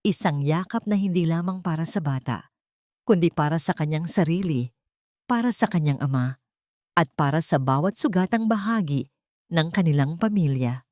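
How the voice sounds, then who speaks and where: Low-voiced Filipino woman narrating drama. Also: animated, normal speed, a little mellow, dry recording, studio quality. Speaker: audiobook narrator. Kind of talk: scripted dramatic story narration.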